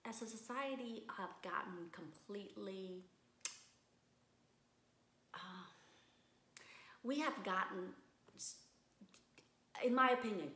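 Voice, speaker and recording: A woman from Vietnam, a guest in a casual interview, speaking thoughtfully with many restarts and pauses. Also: a little high. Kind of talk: casual conversation. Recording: roomy echo.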